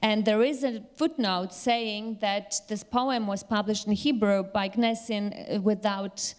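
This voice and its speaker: Female literary commentator, average pitch. Informal but scholarly, with stop-and-start phrasing as she recalls things. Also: steady tone, measured pace, smooth.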